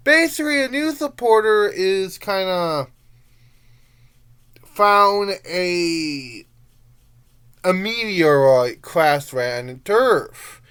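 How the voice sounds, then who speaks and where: A young adult reviewer talks at a slow pace in a mid-range voice that swings widely up and down. The delivery is an informal, rambling monologue, full of halting turns and unfinished thoughts. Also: medium pitch, fairly bright tone, clean tone. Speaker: amateur reviewer.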